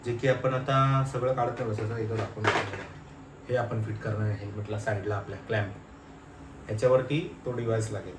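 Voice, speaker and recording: A man's voice: fairly low pitch, steady tone, fairly quick. Male vlogger, informal thinking-aloud delivery, roomy and noisy recording. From Maharashtra, India. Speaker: motovlogger. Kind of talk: casual hands-on unboxing and installation vlog. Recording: roomy, noisy, distant microphone.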